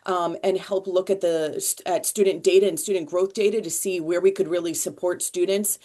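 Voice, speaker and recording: A woman presenting at a school committee meeting, measured and pausing often with fillers. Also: lowish voice, fairly even intonation, warm tone. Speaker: multilingual learner department staff presenter.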